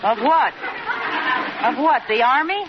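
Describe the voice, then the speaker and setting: An actress playing a witty, meddling wife with quick, teasing comebacks in an old radio sitcom, on a muffled, noisy recording. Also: female voice, sits high, sing-song, over a noisy crowd, exaggerated voice. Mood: bright, curious. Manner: quick comeback banter, mock indignation. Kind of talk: 1940s-50s radio sitcom acting.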